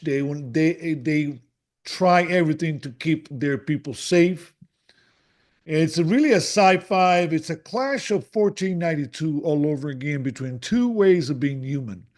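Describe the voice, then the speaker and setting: A man hosting an author interview, enthusiastic and prone to halting restarts. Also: a little high, darkish tone. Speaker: podcast host.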